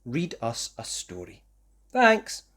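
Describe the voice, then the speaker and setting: Brief: storybook narrator for young children, expressive and animated, with wide melodic swings. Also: medium pitch, takes it slowly. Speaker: children's story reader, host.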